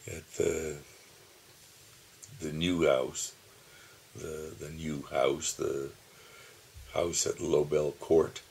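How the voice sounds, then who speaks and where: Very deep, gravelly older man musing slowly. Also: a little hiss or hum. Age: 70s. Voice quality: calls his own voice dull. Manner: slow, musing, with soft chuckles and pauses. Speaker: video diarist. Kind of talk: reflective personal reminiscing. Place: home, seated at his computer. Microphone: computer camera mic.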